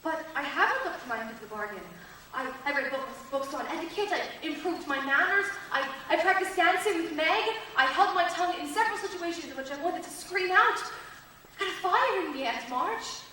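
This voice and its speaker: Woman playing fiery young heroine, stage drama, very high pitch, impassioned, declamatory, distant noisy recording. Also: lively intonation, fairly quick, sharp tone, heavily acted. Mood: defiant. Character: headstrong, fiery young woman dreaming of being a writer. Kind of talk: stage play acting. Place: school theatre stage, recorded from the audience. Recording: very echoey hall, distant mic, noisy.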